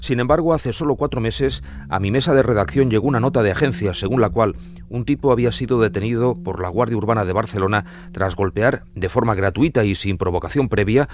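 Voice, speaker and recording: A man's voice: fairly low pitch, brisk, a little mellow. A man with an accent from Spain, reading scripted true-crime narration.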